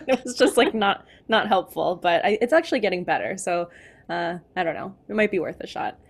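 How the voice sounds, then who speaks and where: A woman's voice: lowish voice, lively intonation, fairly quick, husky. A woman talking casually as an interviewer in a conversation.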